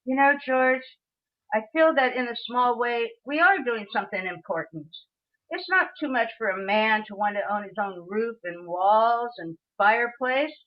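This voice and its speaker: A woman playing a gentle, earnest older father in an amateur radio play, speaking sincerely and a little haltingly. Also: a little high, lightly acted. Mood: earnest, resigned. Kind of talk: community theatre radio play acting. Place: remote video call. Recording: dull lo-fi video call audio.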